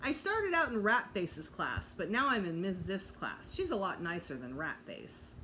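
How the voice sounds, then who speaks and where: Lady doing a little kid boy from a storybook, excitable n blunt, voice jumps around, some noise behind. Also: fairly low pitch, normal speed, low-quality recording. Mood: proud. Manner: blunt, eager, boastful. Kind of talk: children's storybook character voice.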